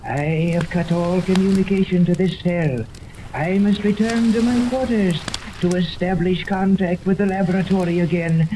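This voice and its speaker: An actor playing a haughty, sinister old scientist mastermind in 1930s radio serial style, pompous and formal. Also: male voice, high voice, steady tone, moderate pace, close to an everyday voice. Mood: brisk, commanding. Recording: noisy vintage 1930s radio recording.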